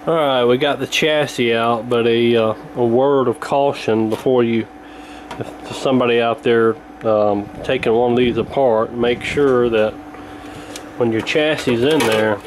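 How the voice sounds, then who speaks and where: A man's voice: medium pitch, fairly even intonation, unhurried, slight background noise, low-quality recording. A man giving a casual, hands-on repair walkthrough in a folksy, colloquial way, thinking aloud as he goes. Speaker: radio repair hobbyist presenting.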